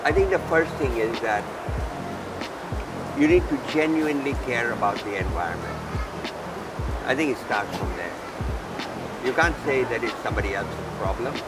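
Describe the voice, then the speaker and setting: Deliberate man interviewed over loud music. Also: fairly low pitch, poor audio. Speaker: company executive being interviewed. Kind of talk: interview, explaining at length. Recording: music laid under the voice. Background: background music.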